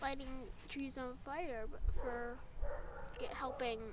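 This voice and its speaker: A child being casually interviewed as a young guest reviewer, talking haltingly and searching for words. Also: high-pitched, little pitch movement, background noise.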